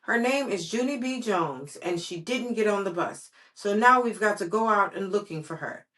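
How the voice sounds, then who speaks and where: A woman voicing a brisk female kindergarten teacher from a storybook, loud and directive. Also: lively intonation, lightly acted. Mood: worried. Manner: loud, directive, raised pitch.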